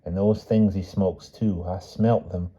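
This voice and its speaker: A man voicing a frail, timid old aunt in an audiobook story, with a quavering, hushed voice and a mild, simple manner. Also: low-pitched, steady tone, unhurried, slightly rough. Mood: mild, earnest. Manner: mild, simple, endearing old-fashioned address. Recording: dull, muffled audio.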